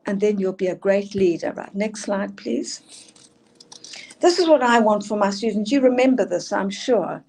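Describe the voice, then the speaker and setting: Older South African woman, emphatic presenter, warm low-brightness tone. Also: expressive, normal speed, vocal fry. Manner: earnest, emphatic, asks for next slides. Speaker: school leadership speaker. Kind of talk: presenting slides in a talk.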